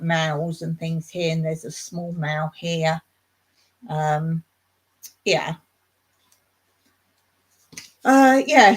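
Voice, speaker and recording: A woman hosting a casual live stream, talking to viewers in a rambling, chatty way and laughing often. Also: medium pitch.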